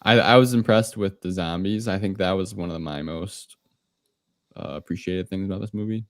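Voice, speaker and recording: A man's voice: deep voice, normal speed. A man co-hosting a movie review podcast in casual, conversational panel chat.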